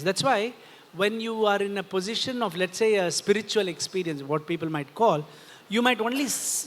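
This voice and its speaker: A man with an Indian accent explains ideas in a didactic way as a panel speaker at a festival discussion, checking that listeners follow. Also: high voice. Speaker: panel speaker, philosopher and author. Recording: reverberant hall sound.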